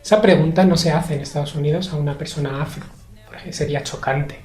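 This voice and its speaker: A young man from Spain answers interview questions in a measured, hesitant way, pausing often and using fillers. His voice has a mellow, dark tone. The sound bounces around an echoey room. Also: fairly high pitch, fairly even intonation, faint music behind. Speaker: interview guest. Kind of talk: thoughtful conversational interview.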